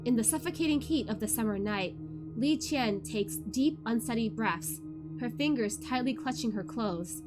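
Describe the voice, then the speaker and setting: A woman hosting a read-along livestream in an informal, expressive way, with music in the background. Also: on the high side, normal speed. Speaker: VTuber host of a book read-along. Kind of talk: casual chatty livestream; expressive audiobook-style narration of a novel.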